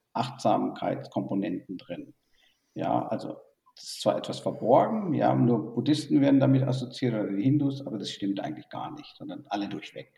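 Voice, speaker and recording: Interview guest, man from Burma, hesitant and thoughtful, low gravelly voice. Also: mellow, distant mic. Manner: thoughtful, hesitant with many fillers. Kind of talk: reflective conversational interview. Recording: echoey room, off-mic.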